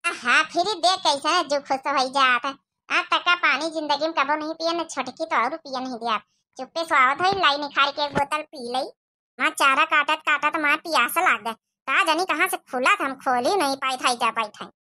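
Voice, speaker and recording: A woman's voice: high-pitched, normal speed, bright, forceful tone. A woman voicing an emotional young village daughter-in-law in a cartoon comedy, speaking with a rustic Awadhi accent. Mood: plaintive. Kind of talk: rural cartoon comedy dialogue.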